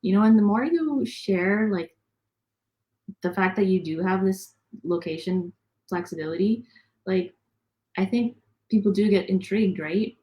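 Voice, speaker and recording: A woman's voice: mid-range voice, measured pace, pauses often, mellow. A young woman talking casually as a guest in an online interview, upbeat and chatty with lots of fillers. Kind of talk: casual video call interview.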